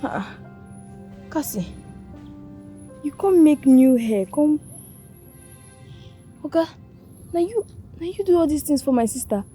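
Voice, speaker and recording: An actress playing a humble, protective elder sister in a film drama, with a Nigerian accent and Pidgin phrasing. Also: female voice, sits high, lively intonation, takes it slowly, long pauses, warm tone, faint music behind. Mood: grateful.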